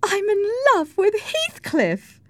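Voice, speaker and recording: Woman playing a high-pitched, lovestruck teenage young lady. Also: wildly swooping pitch, takes it slowly, no room sound, heavily acted. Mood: earnest. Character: infatuated, naive eighteen-year-old young lady, petulant. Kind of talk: audiobook character voice.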